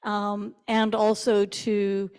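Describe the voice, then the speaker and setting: A woman speaking from the floor at a church meeting, earnest and halting with many fillers, into a handheld microphone. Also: mid-range voice, monotone, darkish tone, clean tone. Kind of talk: public comment at a parish meeting.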